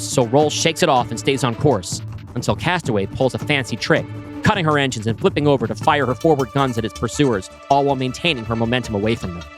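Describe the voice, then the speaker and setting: A man reading his screenplay aloud, with brisk scene-setting narration. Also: mid-range voice. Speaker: writer pitching a screenplay.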